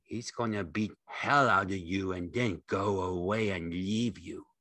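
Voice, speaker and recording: A man's voice: deep voice, measured pace, pushed and bright, no echo, heavily acted. A man voicing a gigantic imaginary rabbit in an audiobook, scornful and cruelly taunting in coarse speech.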